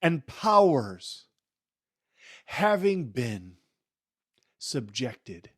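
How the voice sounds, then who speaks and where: Guy doing a solemn dramatic bible reading, really slow w big pauses, very dry close sound. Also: mid-range voice, very animated, slightly rough, no room sound. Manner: solemn, emphatic, with deliberate pauses and hushed phrase endings. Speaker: scripture reader.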